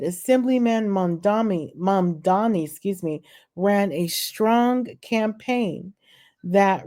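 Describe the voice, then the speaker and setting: Slow, mellow-voiced woman reading the news. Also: on the low side. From California. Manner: reads haltingly, stumbles on names, then adds chatty opinions. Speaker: political commentary vlogger. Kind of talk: reading news aloud and commenting.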